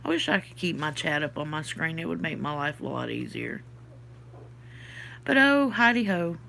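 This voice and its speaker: A woman's voice: low-pitched, animated, normal speed, creaky, bright, forceful tone, slight background noise. A woman from the Southern US with a Southern accent, stuffy from her sinuses, chatting casually on a livestream and answering comments from her chat. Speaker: podcast host reading live chat.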